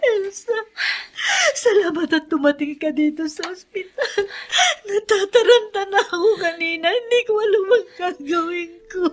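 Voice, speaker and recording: A woman acting a worried, gentle mother with a Filipino accent in an emotive radio drama style. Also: very high-pitched, normal speed. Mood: tearful, anxious. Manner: tearful, emotive. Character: worried, grateful wife and mother, gentle and forgiving.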